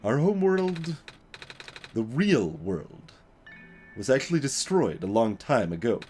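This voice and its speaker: Male performer as earnest, burdened young man in a video game. Halting, formal, animated pitch, music underneath. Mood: solemn, explanatory. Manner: halting, careful, formal wording. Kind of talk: voiced reading of video game dialogue.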